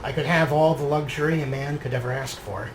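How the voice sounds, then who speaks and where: A man's voice: medium pitch, fairly even intonation, brisk, over music, poor audio. A man narrating a video game series as its host, partly reading in character. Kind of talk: scripted in-character journal narration and show commentary.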